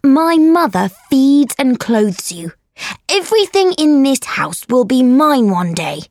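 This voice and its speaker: A woman's voice: high-pitched, sing-song, slow pace, a little mellow, no echo, clean studio sound, pitched above the performer's own voice, exaggerated voice. A woman voicing a spoilt, bullying fourteen-year-old boy in an audiobook, commanding and taunting. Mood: haughty, threatening. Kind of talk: audiobook character voice.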